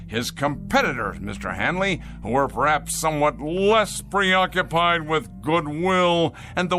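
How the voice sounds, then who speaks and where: Slow-talking, sardonic factory boss. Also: male voice, on the high side, sharp tone, exaggerated voice. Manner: sardonic, mocking, deliberate. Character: ruthless, sarcastic factory boss obsessed with efficiency. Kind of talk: radio drama acting.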